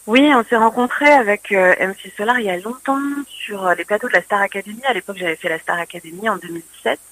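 A woman's voice: mid-range voice, quick pace, a bit bright, no echo, low-quality recording. A woman singer-songwriter being interviewed on the radio, hesitant and full of fillers and restarts. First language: French.

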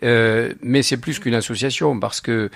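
A man's voice: medium pitch, fairly even intonation, a little slow, vocal fry, dry recording. A man in his sixties from southern France with a southern French accent, a lawyer answering interview questions in a hesitant way, with many fillers and repeated words. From Narbonne, southern France. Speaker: lawyer, supporters' association co-president.

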